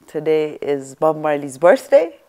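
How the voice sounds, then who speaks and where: A woman's voice: deep voice, very animated, a little slow, darkish tone. A woman hosting a talk show, upbeat and encouraging as she introduces and questions her guest. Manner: upbeat, encouraging, brief affirmations. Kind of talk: interview hosting.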